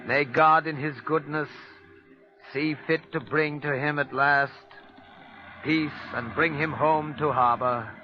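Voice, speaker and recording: Slow, solemn sea captain. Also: male voice, on the high side, steady tone. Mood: solemn, mournful. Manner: slow, reverent, grave funeral oration. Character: solemn ship's captain giving burial at sea. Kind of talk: 1940s radio acting. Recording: muffled old radio recording with music.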